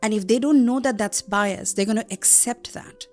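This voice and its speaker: Animated woman from Bangladesh explaining. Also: medium pitch, normal speed, soft background music. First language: Bengali. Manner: animated, acts out quotes, explains with examples. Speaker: guest academic. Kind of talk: podcast interview.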